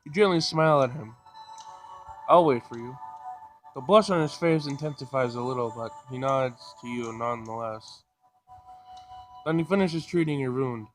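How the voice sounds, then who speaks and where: A man's voice: medium pitch, normal speed, soft background music, poor audio. A man hosting a gaming video, casually reading a visual novel aloud and reacting to it.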